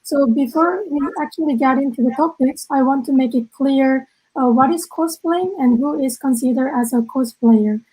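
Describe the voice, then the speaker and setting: A young woman in her twenties from South Korea with a Korean accent, co-hosting an online event over a video call and filling her pauses with "uh.". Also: high voice, a little mellow, husky, low-quality recording. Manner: halting, many fillers. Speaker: event co-host.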